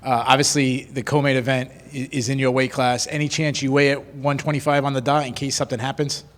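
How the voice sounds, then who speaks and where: A man's voice: mid-range voice, little pitch movement, normal speed. A man reporter asking direct questions, often opening with "uh". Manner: direct, begins with "uh". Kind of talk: press questions. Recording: echoey hall.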